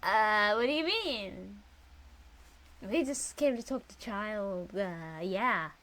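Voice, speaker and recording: A woman's voice: medium pitch, expressive, takes it slowly, a bit bright. A woman streaming a game, reacting casually with playful, wry asides. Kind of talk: casual live gameplay reaction chat.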